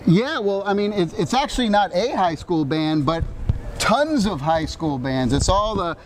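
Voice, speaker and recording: A middle-aged man giving an energetic, jokey interview at a rehearsal, acting out quotes along the way. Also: high voice, measured pace, a little hiss or hum, low-quality recording. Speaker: show creator. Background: orchestra rehearsal.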